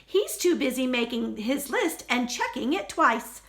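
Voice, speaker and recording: Woman doing a storybook elf guard, high, bright and forceful, officious, scolding. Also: sing-song, exaggerated voice. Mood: dismissive. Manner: emphatic, scolding, drawn-out retorts. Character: stern, officious male Christmas elf guard.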